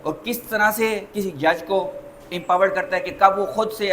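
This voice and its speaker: A Pakistani man giving a lecture as a lawyer, deliberate and emphatic, slowing down when he mixes in English phrases. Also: high voice, a little hiss or hum, poor audio.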